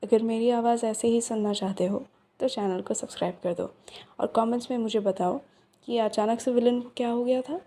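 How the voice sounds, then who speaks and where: A woman's voice: on the high side, fairly even intonation, moderate pace, dark tone. A young woman ASMR creator wrapping up her video in a friendly, casual way, mixing in English. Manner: friendly, code-switching casual appeal. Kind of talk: channel outro. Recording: roomy echo with long reverb.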